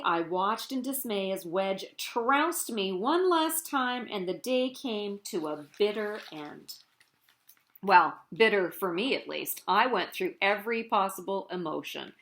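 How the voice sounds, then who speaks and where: A woman's voice: medium pitch, lively intonation, a little slow, gravelly, a bit bright, voice pushed down. A woman reading a children's picture book aloud as a boastful, theatrical cheese telling his own tale in a dramatic, self-important way. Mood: dismayed.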